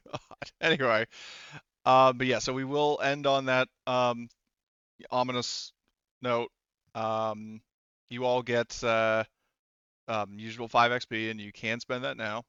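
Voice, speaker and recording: A man talking casually as the game master of a tabletop game, often halting and repeating words as he goes. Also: medium pitch, little pitch movement, pushed and bright, clean tone, no echo, dull audio.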